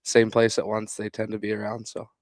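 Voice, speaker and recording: A man's voice: fairly low pitch, completely flat delivery, a little fast, dry recording, clean studio sound. A young man, a coach, chatting casually as an interview guest in an easygoing, dryly joking manner.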